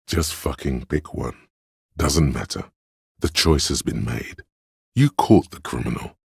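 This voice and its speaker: A detective's imagination speaks up as a grandiose, wry inner muse. The voice is bottomlessly deep and heavily gravelled, recorded dry and close. It delivers literary lines deliberately, with portentous, theatrical pauses. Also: male voice, dry recording, heavily acted. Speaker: video game voice actor. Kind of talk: theatrical, literary game narration.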